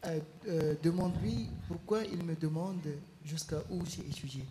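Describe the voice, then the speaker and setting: A man working as a court interpreter, talking in a rapid, hurried way and repeating phrases. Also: on the high side, background noise. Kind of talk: consecutive interpreting.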